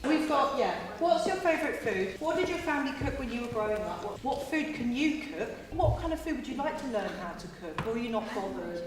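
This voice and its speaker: A woman's voice: fairly high pitch, animated, a little fast, few pauses. Woman leading a class in an echoey hall. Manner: clear and directive, asks many questions. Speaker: workshop leader. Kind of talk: instructing a class. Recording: echoey hall, distant mic, background noise.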